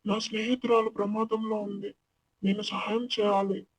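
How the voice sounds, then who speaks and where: A small, brave, loyal ant from an animated children's folktale, acted by a woman. The voice is husky and mid-range, earnest in manner, and very dry. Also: medium pitch, moderate pace, dry recording, voice pushed down, natural voice, rough recording. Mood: determined. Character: tiny hardworking ant, brave and loyal friend.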